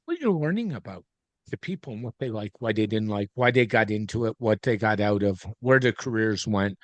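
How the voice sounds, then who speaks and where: A man's voice: lowish voice, expressive, brisk, dry recording. Agreeable male podcast host, dry sound. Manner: friendly, agreeable, conversational. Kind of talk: casual interview chat.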